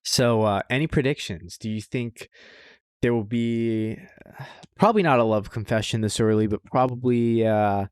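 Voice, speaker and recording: A man with a mid-pitched voice, recorded close and dry. He co-hosts a casual podcast and talks with informal, slangy enthusiasm, swearing freely. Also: normal speed, smooth, no room sound. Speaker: podcast co-host. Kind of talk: casual podcast chat about anime.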